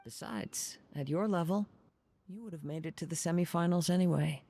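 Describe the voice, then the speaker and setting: An actor playing a calm, thoughtful young wandering swordsman in a video game, speaking in a measured, formal way. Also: fairly high pitch, gravelly. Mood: calm advice. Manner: measured, formal, soft-spoken.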